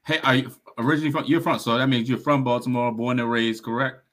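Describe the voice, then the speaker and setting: A man's voice: mid-range voice, fairly even intonation, fast, fairly bright tone. A man hosting a casual podcast interview who often answers "mm-hmm" and restarts his questions. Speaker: podcast host.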